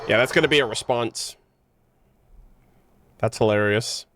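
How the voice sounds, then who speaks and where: A man's voice: medium pitch, unhurried, fairly bright tone, slight background noise. A man giving casual, slangy live reactions while playing a game, muttering asides on a close mic. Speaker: game streamer.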